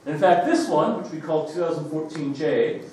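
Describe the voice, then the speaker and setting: A man's voice: fairly high pitch, animated, moderate pace, warm tone, a little hiss or hum. A man with an Australian accent giving a joking, chatty public lecture, with many fillers. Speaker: guest lecturer. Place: lecture hall. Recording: echoey hall, mic from far off.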